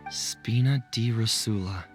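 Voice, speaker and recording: A man's voice: fairly low pitch, a little slow, close to an everyday voice. An actor playing an earnest, unsure young man in a video game, respectful and deferential to his monarch. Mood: pleased. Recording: music under the voice.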